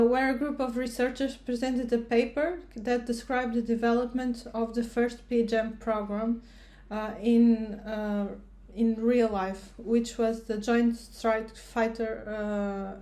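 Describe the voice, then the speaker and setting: A woman's voice: fairly high pitch, a little mellow. A woman from Portugal, a researcher giving a recorded educational presentation, speaking hesitantly with many fillers and restarts.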